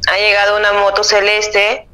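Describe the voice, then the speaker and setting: A woman's voice: medium pitch, completely flat delivery, moderate pace, sharp tone. A young Peruvian-accented woman speaking spontaneously as an interviewee on a telephone call. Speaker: phone interviewee, mother.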